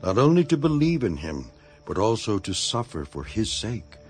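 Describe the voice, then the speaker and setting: An actor playing an earnest, devoted imprisoned apostle in a dramatized scripture reading, speaking in a solemn, measured manner. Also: male voice, on the low side, big pitch swings, faint music behind. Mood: solemn exhortation. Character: Apostle Paul, imprisoned, earnest, devoted elder writing to his flock.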